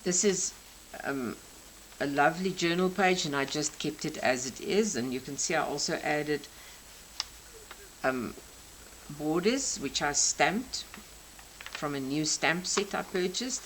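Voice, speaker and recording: A South African woman in her sixties, a native Afrikaans speaker, gently explaining each detail of her craft journal. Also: low-pitched, unhurried, pauses often, a little hiss or hum, poor audio. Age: 60s. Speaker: craft channel host. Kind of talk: crafting show-and-tell flip-through.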